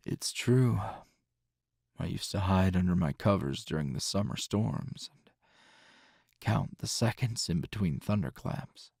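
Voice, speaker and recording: A man playing a tender, teasing hero boyfriend in an intimate ASMR roleplay, soft-spoken with a husky, breathy voice and unhurried pauses. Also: sits low, expressive, no echo, clean studio sound, full character voice. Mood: wry, reminiscing. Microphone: close, dry microphone.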